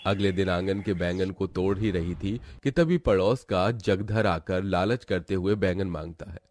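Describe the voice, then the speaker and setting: A man's voice: sits low, moderate pace, a little mellow. A man as narrator, reading a scripted cartoon story.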